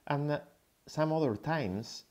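A man from Spain with a Spanish accent giving an explanatory slide lecture, pausing hesitantly as he goes. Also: fairly high pitch, darkish tone. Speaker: guest lecturer, tech founder.

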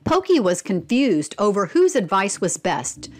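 A woman's voice: medium pitch, sing-song, unhurried. A woman reading a children's storybook and presenting it instructively, expressively asking young listeners questions. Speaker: read-aloud narrator and presenter.

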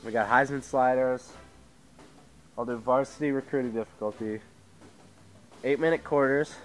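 Sick teen gamer from Idaho, sore throat, rambling home commentary on a headset, mid pitch. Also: male voice, fairly even intonation, normal speed, soft background music, low-quality recording. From Idaho, USA. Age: teens. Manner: rambling, self-interrupting, reads out settings. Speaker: gaming YouTuber. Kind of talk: casual gameplay commentary. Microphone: headset mic with tangled cords.

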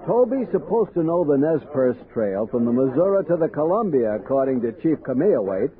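Animated, decisive expedition captain. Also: male voice, fairly high pitch, brisk. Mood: concerned, decisive. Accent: American. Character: steady, decisive male expedition captain and leader. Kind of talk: 1960s radio drama acting. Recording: noisy, narrow old radio recording.

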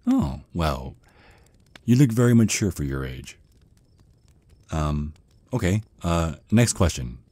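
A man's voice: sits low, big pitch swings, takes it slowly, long pauses, warm tone, dry recording, full character voice. A man playing a shy, nervous male vampire in an ASMR roleplay, stammering and hesitating apologetically as he talks. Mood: nervous, awkward. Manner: stammering, hesitant, flustered, rambling. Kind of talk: ASMR roleplay monologue, conversational.

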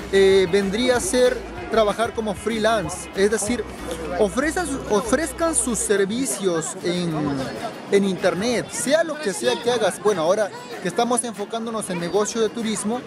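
Male travel vlogger, twenties, high-pitched, instructive and informal, recorded on city streets over music. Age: 20s. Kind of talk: informal advice vlog to camera. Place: outdoors in city square and narrow streets. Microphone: camera at arm's length.

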